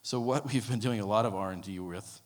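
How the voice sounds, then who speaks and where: Low-voiced man presenting in a reverberant hall. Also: fairly quick. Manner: casual, many ums and restarts. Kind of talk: conference talk. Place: conference room.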